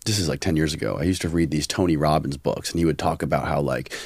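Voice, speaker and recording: A man's voice: rumbling low, quick pace, darkish tone, breathy edge, dry recording. A man hosting a podcast, chatting casually and asking questions in loose, informal phrasing. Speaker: podcast host, interviewer. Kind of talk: casual chat.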